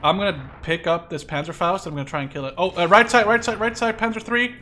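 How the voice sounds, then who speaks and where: A man's voice: high-pitched, quick pace, sharp tone. A man in his twenties chatting casually and giving squad callouts as he plays a shooter game, swearing freely. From Canada or Netherlands. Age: 20s. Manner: swears freely, directing teammates. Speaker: gamer leading his squad. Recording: gunfire and game noise behind voice. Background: video game gunfire and battle ambience.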